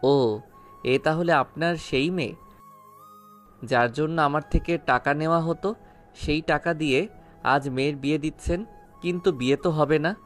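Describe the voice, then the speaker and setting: Man playing a menacing, higher-pitched gangster moneylender, music behind. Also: moderate pace, pauses often, natural voice. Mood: taunting, menacing. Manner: cold, taunting, hard-voiced. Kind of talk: audio story acting. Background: background music.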